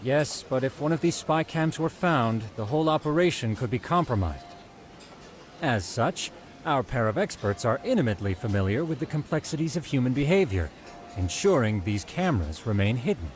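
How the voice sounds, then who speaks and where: An actor playing a businesslike, eager private detective in a video game, explaining things in formal, precise terms. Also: male voice, mid-range voice, big pitch swings, moderate pace, soft background music, natural voice. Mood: confident, explanatory. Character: businesslike, eager male private detective pitching his plan. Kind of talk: video game cutscene acting.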